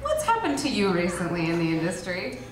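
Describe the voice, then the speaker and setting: A woman's voice: medium pitch, huge pitch swings, background noise. A woman hosting a live stage show, laughing often as she moderates. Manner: laughing, drawn-out delivery. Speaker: host. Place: small club stage, indoors. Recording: echoey live room, distant, audience.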